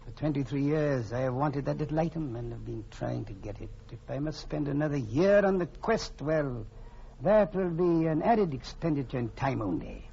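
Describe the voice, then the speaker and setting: Gravelly, courtly gentleman villain. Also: fairly high pitch, big pitch swings, moderate pace. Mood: courtly, resolute. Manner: florid, formal, courtly, chuckling speech. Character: fat, genteel, cunning older gentleman villain, jovially menacing. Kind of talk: 1940s radio drama acting. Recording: muffled, faintly noisy old radio recording.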